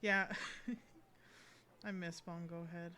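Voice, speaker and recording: A woman's voice: on the low side, steady tone, slow, sharp tone. A woman game streamer giving casual live commentary as she plays. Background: game music.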